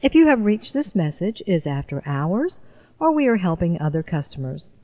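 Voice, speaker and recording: A woman doing scripted voice-over narration as a demo artist, with a measured, announcing delivery. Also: fairly low pitch, big pitch swings, muffled recording.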